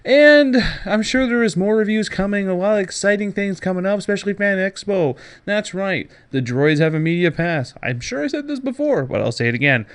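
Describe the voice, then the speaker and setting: A male podcast host with a somewhat high-pitched voice delivers casual news commentary. He is sarcastic and jokey, and leans on words with theatrical emphasis. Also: expressive, a little fast, hardly any pauses, smooth.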